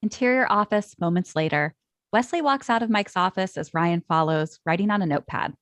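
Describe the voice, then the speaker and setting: A woman's voice: on the low side, brisk, dry recording. A woman reading screenplay stage directions as scripted narration.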